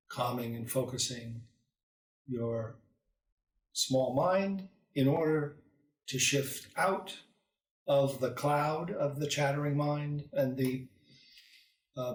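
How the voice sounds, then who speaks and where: Slow-paced man guiding meditation, roomy echo. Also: mid-range voice. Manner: calm, soothing, with long pauses between short phrases. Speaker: meditation teacher. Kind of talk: guided meditation. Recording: roomy echo, distant microphone.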